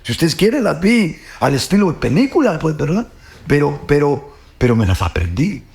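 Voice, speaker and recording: Gravelly Guatemalan man, earnest faith talk. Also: a little high, very animated, moderate pace, a little mellow. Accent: Guatemalan Spanish. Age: middle-aged. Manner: earnest, repeats words, ends with "pues, ¿verdad?". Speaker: host.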